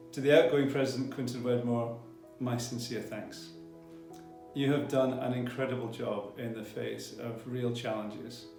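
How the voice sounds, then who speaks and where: A man giving a formal, appreciative ceremony address as a college leader. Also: medium pitch. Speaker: college principal, ceremony speaker. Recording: roomy echo with guitar music.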